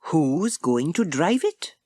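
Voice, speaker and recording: A woman's voice: sits low, sing-song, slow, a little mellow, breathy edge, dry recording, heavily acted. A woman playing a proper, precise village doctor in a children's audiobook, with careful emphasis. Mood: doubtful, cautioning. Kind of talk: acted children's audiobook dialogue.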